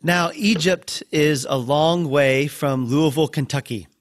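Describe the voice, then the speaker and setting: A man hosting a podcast interview, introducing his guest and asking questions in a warm, friendly way. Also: on the high side, measured pace, no room sound, clean studio sound. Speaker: podcast host.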